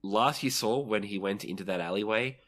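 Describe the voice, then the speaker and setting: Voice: deep voice, brisk, fairly bright tone. A game master narrating a tabletop role-playing game, describing the action in vivid, dramatic detail.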